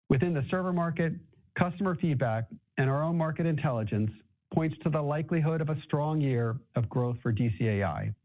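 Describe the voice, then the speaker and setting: A man speaking as a company finance executive on a corporate earnings conference call. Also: a little high. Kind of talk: scripted corporate earnings presentation, then answering questions. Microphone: conference call line. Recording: thin narrow phone-like conference line.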